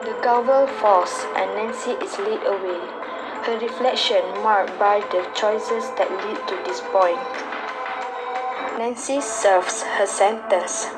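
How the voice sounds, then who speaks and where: A woman's voice: medium pitch, fairly even intonation, slow pace, over loud music, rough recording. A woman reading scripted radio drama narration in a dramatic storytelling manner. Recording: clear background noise.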